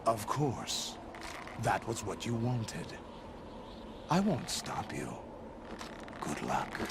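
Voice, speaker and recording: An actor playing a commanding middle-aged villain in a video game cutscene, calculating and menacing, with grand, deliberate delivery. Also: male voice, fairly low pitch, big pitch swings, vocal fry, background noise, exaggerated voice. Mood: dismissive. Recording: loud music behind the dialogue.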